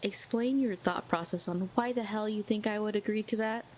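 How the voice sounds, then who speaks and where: A woman's voice: mid-range voice, moderate pace, darkish tone, slight background noise. A woman playing a proud, lonely telepathic villain who talks in a sardonic, cool and needling way. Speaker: audio roleplay performer. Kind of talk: scripted audio roleplay.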